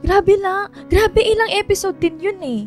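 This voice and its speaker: A lovestruck young female student with a very high, whiny voice. She is excitable and dramatic, pleading with her best friend in a radio romance, with music underneath. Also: measured pace. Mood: whiny, stressed. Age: young adult.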